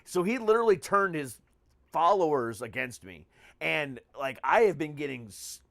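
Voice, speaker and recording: A man's voice: a little high, expressive, normal speed, gravelly, a bit bright, dry recording. A man hosting a podcast in casual conversation, talking in an emphatic, slangy way with frequent swearing. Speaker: podcast host. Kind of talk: casual chat.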